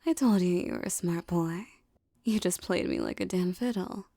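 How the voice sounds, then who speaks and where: A tough young mafia boss girlfriend acted by a woman in an ASMR romance roleplay. Her voice is dark and mellow with a gravelly fry, recorded close and dry. She talks intimately, mixing slangy toughness with doting, teasing tenderness. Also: fairly low pitch, normal speed, no echo. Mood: tender, teasing.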